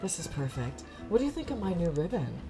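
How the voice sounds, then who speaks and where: A woman's voice: lowish voice, big pitch swings, a little fast, music in the background, poor audio. Woman as a vain, pampered diva cat. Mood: haughty. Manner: gushing, haughty. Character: vain, fancy, self-absorbed pampered cat with pink ribbon.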